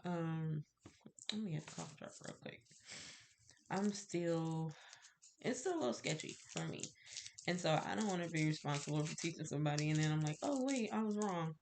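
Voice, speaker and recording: A woman's voice: low-pitched, expressive, normal speed, background noise. A woman with a stuffy cold, sounding nasal, chatting casually to camera about her candle-making and rambling with fillers and little laughs. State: stuffy, congested.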